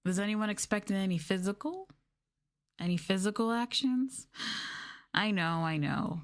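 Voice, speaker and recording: A woman's voice: mid-range voice, expressive, moderate pace. A woman livestreaming an ASMR roleplay, talking down to her viewers in a teasing, sultry, condescending way. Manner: teasing, condescending, mock-sadistic dominance.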